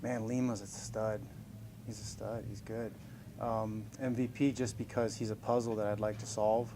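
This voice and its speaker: A man's voice: lowish voice, little pitch movement, moderate pace, background noise. A man in his forties answering reporters' questions in a casual, upbeat way, often chuckling and joking about himself. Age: 40s. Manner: upbeat, chuckling, self-deprecating. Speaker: professional fighter being interviewed. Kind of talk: casual press scrum answers.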